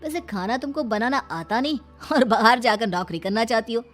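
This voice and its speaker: A woman plays a bossy young husband in a cartoon family drama, loud and sneering. The voice sits fairly high, over music. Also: lively intonation, normal speed, lightly acted. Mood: scornful. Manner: shouting orders, sneering. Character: domineering, scornful young husband, a spoilt angry son. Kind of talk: cartoon story dialogue.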